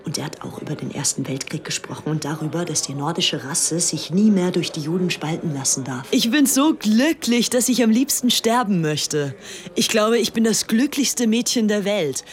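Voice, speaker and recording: A woman's voice: on the low side, animated, moderate pace, close to an everyday voice. A woman playing a starstruck, devoted young upper-class Englishwoman in a radio drama, with an earnest, gushing manner. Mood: elated, breathless. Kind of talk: radio drama monologue and dialogue.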